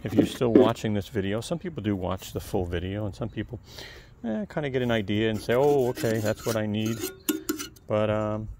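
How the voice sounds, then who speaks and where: A man with a soft, calm voice chatting casually to viewers while cleaning something outdoors on a lawn, filmed on a close-held camera. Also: lowish voice, moderate pace, very noisy. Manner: chatty, rambling asides to the viewer. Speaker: video creator. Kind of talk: casual how-to talk while working. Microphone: camera held close, point of view.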